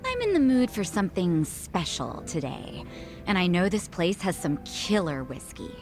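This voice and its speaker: A woman's voice: lowish voice, big pitch swings, moderate pace, over music. An actress playing a sassy, confident woman in a video game cutscene, teasing and breezy.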